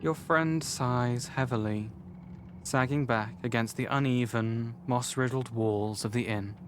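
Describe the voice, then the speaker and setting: A man narrates a visual novel as a formal, measured storyteller. His pitch sits in the middle range, and there is clear background noise with an ambient rumble under the voice. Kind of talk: scripted literary narration.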